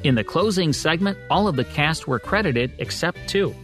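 A man's voice: on the high side, expressive, normal speed, fairly bright tone, soft background music. A man with an American accent hosting a radio show in scripted, co-hosted commentary.

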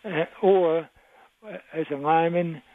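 A man's voice: on the high side, fairly even intonation, measured pace, no echo. A man in his eighties calling in to a radio talk show, reminiscing in a rambling way. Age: 80s. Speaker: caller. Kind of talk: phone-in radio call. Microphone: telephone. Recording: tinny phone line, dry.

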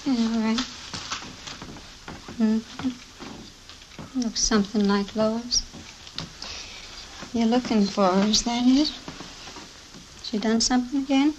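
High-voiced, weary, bitter working mother. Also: slow pace, long pauses. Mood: ashamed, bitter. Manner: plain-spoken, complaining, resigned. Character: small, thin, gray-haired mother running a laundry, bitter and weary. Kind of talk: 1950s radio drama acting. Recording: noisy vintage radio recording with clicks.

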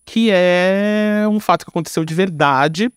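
Brazilian guy chatting as podcast guest, slightly high voice, slangy and informal, super dry sound. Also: measured pace, clear, resonant tone, no echo. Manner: informal, slangy, tag questions. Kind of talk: casual conversational interview answers.

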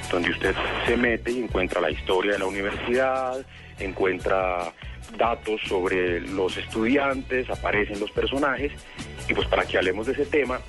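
A man hosting a radio show, chatting casually and interviewing a guest in a rambling way. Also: medium pitch.

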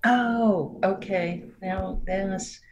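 A woman in her sixties with a New York accent, speaking as a therapist guest in a reflective, unhurried way with frequent light laughs. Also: mid-range voice, fairly even intonation, dull audio, faint music behind. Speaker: marriage and family therapist guest. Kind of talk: reflective interview talk.

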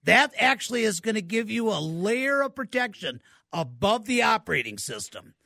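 A man's voice: high voice, big pitch swings, normal speed, creaky, pushed and bright, dry recording. A man, co-hosting a radio call-in show and giving tech advice with enthusiasm, repeating words for emphasis. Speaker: tech expert co-host.

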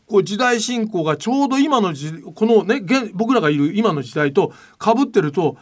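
High-voiced, very animated male host. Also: fairly quick. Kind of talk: opinionated casual talk show chat.

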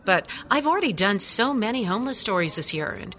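Bright, exasperated woman reporter. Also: mid-range voice, sing-song, brisk. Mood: pleading. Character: frustrated, burned-out, sarcastic woman news reporter. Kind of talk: radio drama acting.

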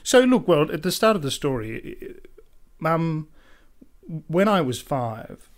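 A young Australian man in his twenties, a podcast guest who talks with rich, formal diction in a measured, articulate way. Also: on the high side, sing-song. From central New South Wales, Australia. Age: 20s. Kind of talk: casual interview chat.

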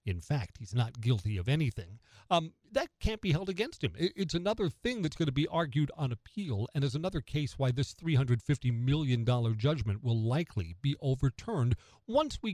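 American male radio host, emphatic opinion talk, very animated delivery, close dry studio sound. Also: fairly high pitch, no echo. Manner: emphatic, rhetorical questions answered by himself. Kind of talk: conversational opinion commentary on news radio.

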